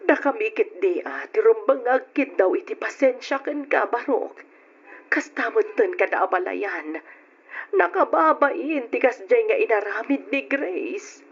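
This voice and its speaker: A woman's voice: on the high side, animated, a little slow, husky, heavily acted. An actress playing an ashamed, sympathetic middle-aged mother-in-law in an Ilocano radio drama, with an Ilocano accent. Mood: ashamed, apologetic. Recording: muffled, dull old radio drama recording.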